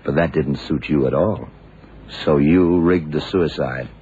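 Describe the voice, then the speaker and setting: An actor playing a shrewd, hard-boiled male detective in a 1970s radio drama, speaking deliberately in clipped sentences. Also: a bass voice, dark tone, slightly rough, heavily acted. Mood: stern, accusing. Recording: muffled, noisy vintage radio recording.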